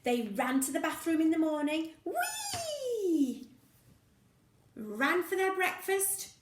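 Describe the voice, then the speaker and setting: A woman teacher reading a picture story aloud to young children, playful and expressive, with sound effects and questions for the listener. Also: very high voice, slow, roomy sound, slight background noise.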